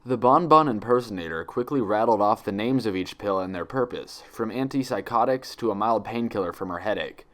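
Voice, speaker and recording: A man's voice: on the low side, fairly quick, hardly any pauses, a bit bright. A man reading a story aloud as its narrator, in scripted prose. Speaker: fan fiction reader, narrator.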